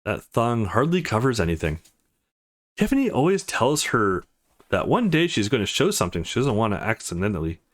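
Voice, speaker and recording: Man reading game dialogue with animated swings. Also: lowish voice, fairly quick. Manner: voices the characters' lines as he reads. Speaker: let's play host. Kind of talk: reading visual novel dialogue aloud.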